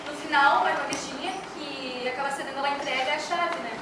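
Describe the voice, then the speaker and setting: A woman's voice: sits high, fairly even intonation, brisk. A Brazilian woman chiming in during an informal group discussion. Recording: very echoey, distant mic, loud room noise.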